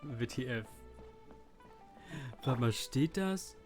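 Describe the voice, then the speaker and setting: A German man comments casually on a video game as a let's play streamer. His voice is medium in pitch and he mutters as he thinks aloud, with clear game music behind him. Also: lively intonation, slow.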